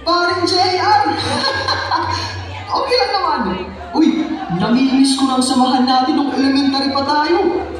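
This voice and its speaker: A man playing a brash, excitable young childhood friend with exclamatory delivery in an amateur stage drama. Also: very high voice, measured pace, long pauses, crowd noise. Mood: friendly. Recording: echoey large hall, distant mic.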